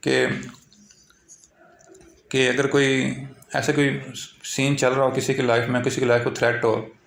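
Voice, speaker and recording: A Pakistani man with a medium-pitched voice teaches law in an informal lecture style. He explains point by point, often restating things and dropping in English legal terms. The recording is noticeably roomy and echoey. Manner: repetitive, filler-heavy explaining with English legal terms mixed in.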